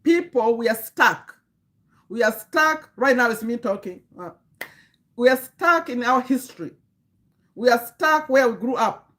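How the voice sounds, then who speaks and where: This is a woman, coaching viewers on a live stream. Her voice sits a little high, and she lectures earnestly, stopping and restarting her sentences as she goes. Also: animated, unhurried, fairly bright tone. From Uganda. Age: 50s. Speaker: livestream host and community coach.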